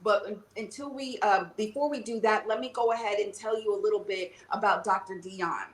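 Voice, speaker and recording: A woman's voice: medium pitch, a little fast, roomy sound. A woman hosting a podcast interview, bubbly and upbeat, often saying "okay" and "y'all". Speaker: podcast host.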